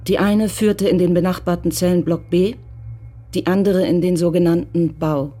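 Dark, low-voiced woman narrator. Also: steady tone, normal speed, husky. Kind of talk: scripted radio play narration.

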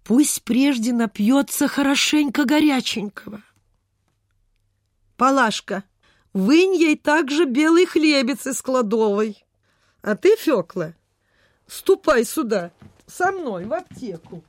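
A woman's voice: high-pitched, animated, slow pace, long pauses, dry recording, clean studio sound. A woman playing a kind-hearted, flustered, pious landowner lady in a radio play, sighing and fussing in a motherly way. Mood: fussing, caring.

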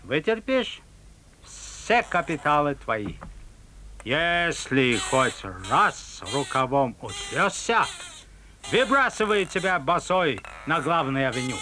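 An actor playing a rich, bossy tourist in a theatrical folk-tale audio play. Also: male voice, fairly high pitch, very animated, slow, noisy background. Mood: bargaining, bossy. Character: rich, bored, bossy American tourist man.

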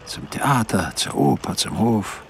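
An actor playing a self-assured, fastidious 18th-century Viennese doctor, musing wryly in a radio drama. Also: male voice, fairly low pitch, sing-song, fairly bright tone. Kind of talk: radio drama acting, interior monologue.

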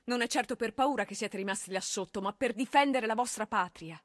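A woman's voice: on the high side, brisk, no echo, clean studio sound, natural voice. An actress playing a proud, grieving mother of a dead soldier in a theatrical drama. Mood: firm.

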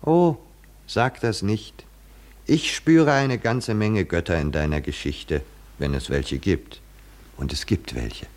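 A fervent old-time radio drama poet, really low voice, big rhetorical pauses. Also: male voice. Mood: insistent, teasing. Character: impassioned philosophical male poet-seer awaiting his blindness. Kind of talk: 1950s German radio drama acting.

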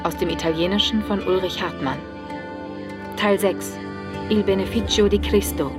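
A woman's voice: mid-range voice, normal speed. A woman announcing a radio drama's title and credits in a scripted style. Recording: loud music under the voice.

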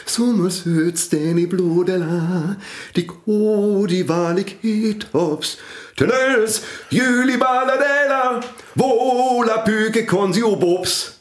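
A man's voice: high voice, slow, reverberant. An actor in a radio play playing a pompous, fanatical priest who preaches his invented world language in an imperious way. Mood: insistent.

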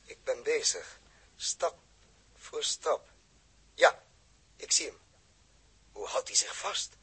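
An actor playing a stern, commanding spaceship captain in a theatrical, clipped 1960s radio drama style, with a husky voice. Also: male voice, medium pitch, lively intonation, slow pace, pushed and bright, heavily acted. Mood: tense. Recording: old 1960s radio recording.

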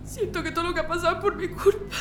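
A woman playing a gentle, devoted young sweetheart in a radio drama. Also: very high-pitched, steady tone, racing. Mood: tearful, guilty. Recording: echoey room, faint noise.

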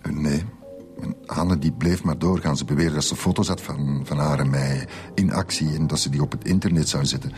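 Radio drama casting: troubled, subdued principal, extremely low voice, Flemish accent, hesitant delivery over music. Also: male voice, steady tone, darkish tone. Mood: halting, distressed. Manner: halting, subdued. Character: troubled male school principal confessing, resigned and hesitant. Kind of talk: naturalistic radio drama acting.

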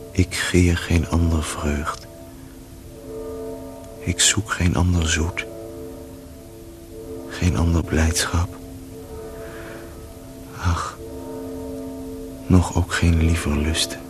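An actor playing a worn-out dying man who prays slowly and resignedly for mercy in a radio play. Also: extremely low pitch, full character voice. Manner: slow, resigned, devout. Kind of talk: solemn prayer in verse in a radio play. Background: music.

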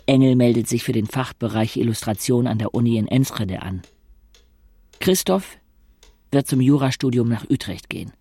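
A wry young man narrating an audio drama. His voice sits in a middle male range with a strong creak. He delivers his literary observations dryly. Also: medium pitch, moderate pace, dark tone, no room sound. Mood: observant.

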